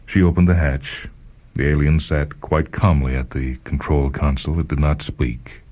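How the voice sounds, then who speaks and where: An American man reading a scripted short story on radio, with a slow, measured, grave and deliberate delivery. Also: a bass voice, level tone, darkish tone. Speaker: radio host and story reader. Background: music.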